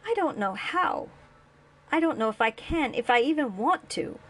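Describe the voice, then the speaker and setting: A woman's voice: high voice, animated, moderate pace, voice pushed up, rough recording. A woman voicing a grown man, a wounded and bitter son confronting the father who abandoned him, pleading in an aching voice. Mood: hurt. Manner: pleading, rising to anguished shouting.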